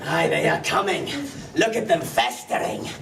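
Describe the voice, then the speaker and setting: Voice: medium pitch, lively intonation, normal speed, creaky, roomy sound, distant mic, noisy background. A player voicing a boisterous male Scottish warrior chief in a put-on Scottish accent, rowdy and often laughing.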